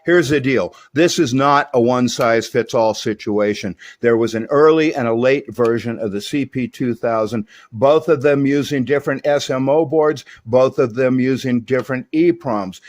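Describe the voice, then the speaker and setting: A middle-aged or older man narrating an informal technical demonstration as a repair technician, plain-spoken and folksy with plenty of slang. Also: medium pitch. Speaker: host, radio repair technician.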